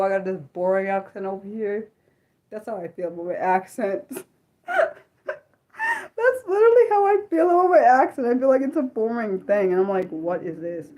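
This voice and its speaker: American woman, livestream host, casual chat, rambling, self-deprecating, frequent laughs. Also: mid-range voice. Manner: rambling and self-deprecating, many trailing "I don't know"s; laughs often, swings between loud bursts and soft mumbles. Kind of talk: casual unscripted livestream chat, answering viewers.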